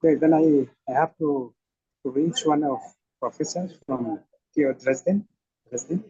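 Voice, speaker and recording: A man who is not a native English speaker, haltingly asking a question as a participant over a poor online connection. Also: a little high, mellow, husky, no echo, lo-fi sound. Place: online video conference call.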